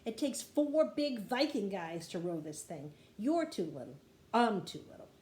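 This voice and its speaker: A woman's voice: medium pitch, very animated, measured pace, a little mellow. A woman voicing a cautious, practical young boy in a storybook read-aloud, speaking emphatically. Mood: exasperated. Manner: emphatic, exclaiming. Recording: roomy echo with light background noise.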